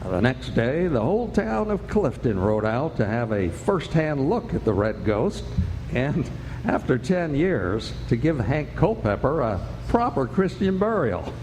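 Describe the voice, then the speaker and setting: A man's voice: medium pitch, very animated, unhurried, a little hiss or hum. A man narrating a Western radio play as a genial, folksy storyteller. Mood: storytelling. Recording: echoey hall, loud music behind. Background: music.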